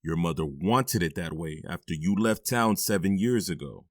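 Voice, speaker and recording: A man acting out a deep-voiced middle-aged father in an audio drama, firm and weary, given to heavy sighs. His low voice sits close and dry with no room around it. He scolds and comforts in the same steady way. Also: moderate pace, no echo. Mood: stern. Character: stern but loving middle-aged stepfather.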